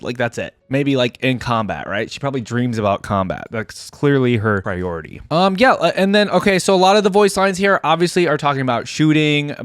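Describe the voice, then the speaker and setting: A woman's voice: rumbling low, no room sound. A woman hosting a reaction video, giving casual, chatty commentary and musing as she goes.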